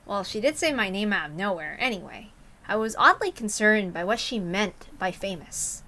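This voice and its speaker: A woman's voice: medium pitch, lively intonation, moderate pace, bright, forceful tone. A woman reading a story aloud expressively, breaking into casual, self-interrupting asides. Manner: self-interrupting, openly flustered over mistakes.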